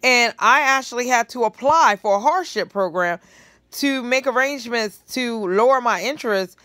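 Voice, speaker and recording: A middle-aged woman talks straight into a phone held close on her couch, her voice dry and near. Her tone is relaxed and conversational, and she works through the numbers out loud as she goes. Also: mid-range voice, no echo. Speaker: vlogger commenting on news clips. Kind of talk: casual commentary to camera. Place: living room couch indoors. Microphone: phone held close at low angle.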